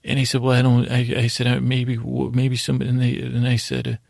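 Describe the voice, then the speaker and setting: Male podcast host, very creaky fry, flat delivery, halting and meandering bedtime storytelling. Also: medium pitch, dry recording, studio quality. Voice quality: gravelly, creaky voice. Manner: halting, meandering, trailing off and restarting mid-phrase. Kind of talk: rambling bedtime storytelling monologue.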